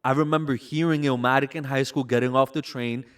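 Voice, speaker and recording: A man in his twenties from Brooklyn, New York, a music artist recalling memories in a relaxed interview, peppering his talk with "like" and "you know". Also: medium pitch, a little fast, clean tone. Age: 20s. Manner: relaxed, reflective storytelling with frequent "like" and "you know". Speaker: bachata artist, guest. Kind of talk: casual interview conversation.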